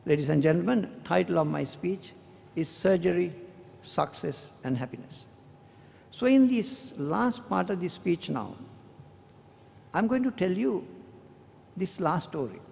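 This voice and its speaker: A man who is a surgeon with a Sri Lankan English accent gives a formal academic address, speaking in a measured, deliberate way with slow, emphatic stretches. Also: sits high, expressive, vocal fry, a little mellow, a little hiss or hum. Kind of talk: formal prepared speech, reading a long quotation. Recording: long hall reverb.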